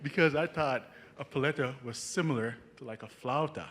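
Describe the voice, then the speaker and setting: A young Jamaican man, a nursing student, telling an audience about his path in an earnest, halting way, with many restarts. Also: medium pitch, a bit bright. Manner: earnest, halting, frequent restarts, chuckles at his own stories. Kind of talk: personal story talk to an audience.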